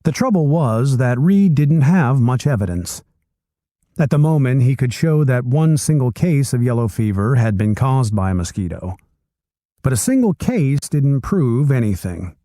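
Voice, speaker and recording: A man reading a nonfiction book aloud chapter by chapter, with expressive storytelling delivery. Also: mid-range voice, measured pace, no echo, studio quality. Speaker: reader.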